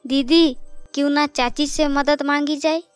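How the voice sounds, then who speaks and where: Earnest little daughter, acted by a woman: polite, crisp, very high-pitched, dry cartoon dub. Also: fairly even intonation, measured pace, no echo, faint music behind. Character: polite, anxious young girl devoted to her mother.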